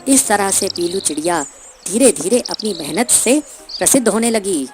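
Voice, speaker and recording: A woman's voice: mid-range voice, lively intonation, normal speed, over music. A woman telling a scripted cartoon story as its narrator.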